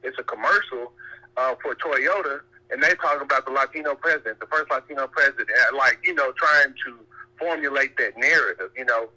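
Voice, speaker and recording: A man calling in to share opinions conversationally, halting and circling back to rephrase his points, over a telephone-like line. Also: medium pitch, bright, forceful tone. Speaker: caller.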